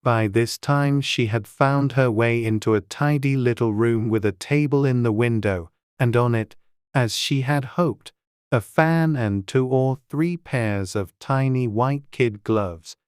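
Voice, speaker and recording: Slow, gentle male storybook narrator. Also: medium pitch, clean tone, no room sound, clean studio sound. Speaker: audiobook narrator.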